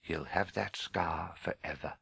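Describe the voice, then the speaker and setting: A grave old wizard from a storybook, acted by a man. The voice is husky and dry, calm and measured, with gentle authority. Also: low voice, no room sound, voice pushed down, exaggerated voice. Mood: solemn. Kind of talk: audiobook character voice.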